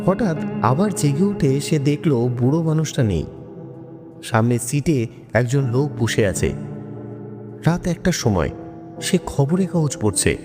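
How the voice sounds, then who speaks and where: Animated male horror narrator with long reverb. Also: on the high side. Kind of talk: dramatic scripted horror storytelling. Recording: long reverb, music bed.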